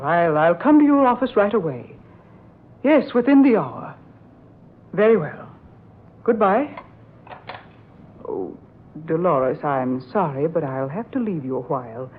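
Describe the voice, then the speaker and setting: An actress playing a composed, consoling middle-aged aunt, measured and genteel, in an old-time radio drama. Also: female voice, lowish voice, husky, heavily acted. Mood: businesslike. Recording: tinny old radio recording, faint hiss.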